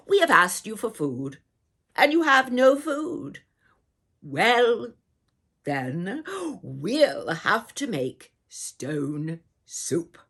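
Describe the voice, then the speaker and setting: A woman voicing a hungry, weary but polite male soldier in a storybook, declaiming loudly and drawing out the words. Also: medium pitch, husky, exaggerated voice. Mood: proclaiming.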